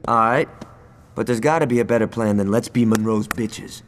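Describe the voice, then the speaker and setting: A man's voice: fairly low pitch, normal speed, big-room sound, slight background noise, close to an everyday voice. An actor playing a hot-headed, swearing male gang member in a video game cutscene. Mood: aggressive. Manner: brash, swearing, aggressive.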